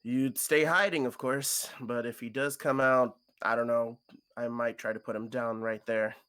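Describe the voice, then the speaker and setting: A man voicing a cautious, protective young male friend in a visual novel, hesitant and low-key. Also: steady tone, normal speed, fairly bright tone, smooth, natural voice. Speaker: co-reader voicing a character on stream.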